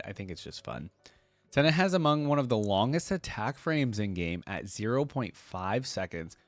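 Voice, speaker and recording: A man's voice: medium pitch, no echo. A man hosting a reaction video, speaking casually and with enthusiasm as he thinks aloud. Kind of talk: casual reaction commentary, partly reading aloud.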